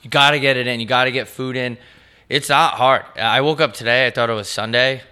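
A raspy man in his twenties, co-hosting a podcast with crude, joking casual chat. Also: medium pitch, steady tone, fairly quick, pushed and bright. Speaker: podcast co-host.

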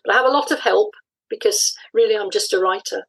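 A woman's voice: medium pitch, fast talker, fairly bright tone, breathy edge, poor audio. A woman in her sixties speaking as an interview guest, reflecting and explaining thoughtfully in a conversational way. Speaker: interview guest, audio drama writer.

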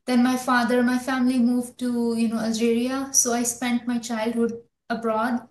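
A woman's voice: on the high side, flat delivery, normal speed, slight background noise. Pakistani-born woman in an echoey room. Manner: rambling, many restarts and fillers. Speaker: guest, physician. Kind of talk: conversational interview answers.

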